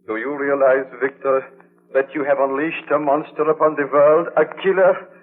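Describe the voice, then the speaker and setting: An actor playing a stern, reproachful gentleman friend of a scientist, speaking gravely and formally in 1930s radio drama style. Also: a little high, level tone, moderate pace, lightly acted. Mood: reproachful, alarmed. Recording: muffled old 1930s radio broadcast.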